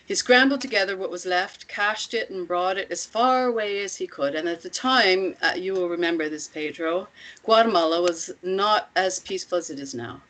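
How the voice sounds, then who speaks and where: A woman playing an older, self-assured commune matriarch in a script table read, speaking in a measured, deliberate way with pointed rhetorical questions. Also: fairly low pitch, fairly bright tone, close to an everyday voice, poor audio. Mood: grave, reflective.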